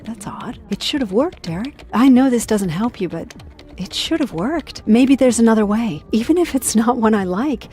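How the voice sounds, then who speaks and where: A woman playing a calm, soft-spoken ally in a video game, gentle and measured. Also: fairly high pitch, soft background music, natural voice. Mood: concerned.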